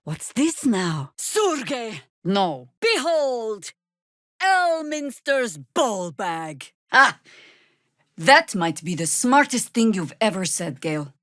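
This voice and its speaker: A woman playing a seasoned older druid warrior in a video game, wry and commanding, with dry, formal, archaic phrasing and a measured, weathered delivery. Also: on the high side, very animated, a bit bright, no echo, heavily acted. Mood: forceful, then dry, reflective. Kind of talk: video game character acting.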